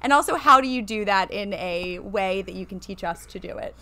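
A woman's voice: medium pitch, animated, a little fast, sharp tone, slight background noise. A woman in her thirties co-hosting a therapy podcast in a studio, chatty and full of questions and anecdotes. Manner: chatty, asks questions, storytelling. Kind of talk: casual podcast chat. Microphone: studio mic with headphones.

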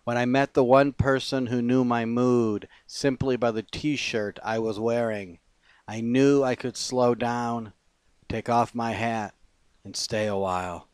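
A man reading poetry as a poet, in measured, deliberate phrases with pauses. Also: medium pitch, steady tone, darkish tone, clean tone, no echo.

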